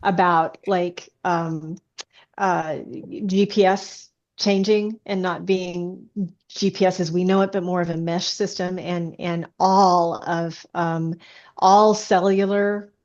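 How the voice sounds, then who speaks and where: A woman guest author chatting casually in an interview, haltingly, often thinking aloud as she explains. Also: on the low side, steady tone, a little mellow, lo-fi sound. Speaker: guest science fiction author.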